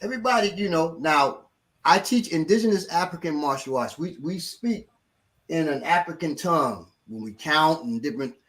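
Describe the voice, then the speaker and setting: Animated male martial arts instructor. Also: on the high side, moderate pace. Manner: animated, acts out quotes of others. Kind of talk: casual storytelling.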